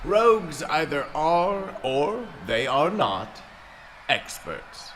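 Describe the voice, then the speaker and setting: A man's voice: on the high side, sing-song, slow pace, a bit bright, slight background noise, heavily acted. An actor playing a sly, theatrical showman of rogues in an audio drama, teasing as he speaks. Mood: amused, teasing.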